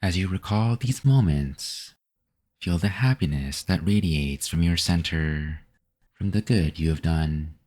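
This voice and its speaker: A man with a very deep, soft-edged, mellow voice guides a meditation. He reads scripted instructions calmly and gently. His phrasing is measured, with pauses that leave room to follow along.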